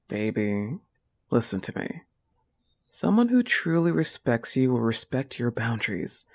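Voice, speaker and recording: A woman's voice: a bass voice, a little mellow, heavily acted. A woman voicing a protective, affectionate young goth wolf-girl girlfriend in an intimate audio roleplay, coaxing and reassuring the listener with a firm manner.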